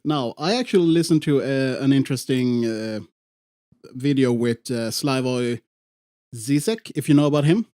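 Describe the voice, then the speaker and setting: A man hosting a podcast, explaining an idea in casual chat with many pauses and restarts. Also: mid-range voice, dark tone. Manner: halting, with many fillers and restarts. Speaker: podcast host.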